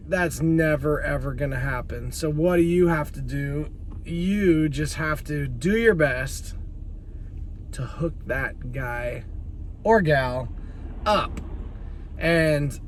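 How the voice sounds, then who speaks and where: A man in his late thirties, a teacher, talking earnestly and rambling a little in a casual motivational vlog from his car. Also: on the high side. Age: late 30s. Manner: rambling, earnest, self-interrupting. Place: car while driving. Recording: car noise in the background. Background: traffic noise.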